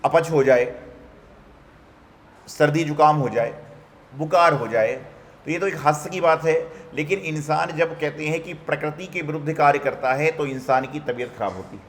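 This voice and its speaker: A Jain monk giving a Hindi sermon, telling stories, asking rhetorical questions and mimicking what people say. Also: male voice, on the high side, moderate pace. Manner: storytelling with rhetorical questions and mock quotes. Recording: hall reverb, faint background noise.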